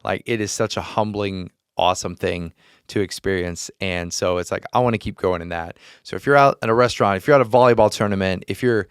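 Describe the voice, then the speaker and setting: A man with a lowish, clean voice talks earnestly on a faith podcast, restarting sentences and laughing as he tells a story. The sound is close and dry. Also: a little fast, clean tone, no echo. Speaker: podcast host. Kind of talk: casual faith chat. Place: podcast studio.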